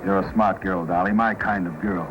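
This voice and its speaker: An actor playing a scheming, bossy fur thief in an old-time radio drama with commanding delivery. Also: male voice, low voice, steady tone, normal speed, background noise, lightly acted. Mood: ardent, boastful. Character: scheming male fur thief and livery man, bossy, smitten. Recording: old radio recording with loud music.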